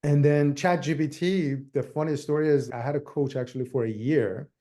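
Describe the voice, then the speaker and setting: A man's voice: a little high, fairly even intonation, brisk, warm tone. A man from Iran with a Persian accent, a native Farsi speaker, talking casually as a guest in an interview, in loose run-on sentences full of restarts. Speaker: guest realtor.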